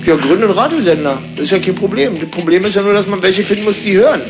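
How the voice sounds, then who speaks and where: High-pitched animated man with rambling delivery. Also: quick pace. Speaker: radio host. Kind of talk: improvised banter in a radio play. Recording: music behind the voice. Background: music.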